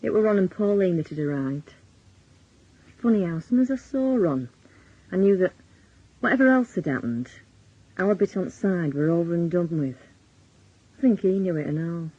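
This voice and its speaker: A man's voice: high voice, animated, normal speed, pauses often, warm tone. An actor playing a reflective Northern English man narrating frankly in the first person in a radio drama. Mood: reflective, resigned. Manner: plain, reflective. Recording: slightly dull, lo-fi radio recording.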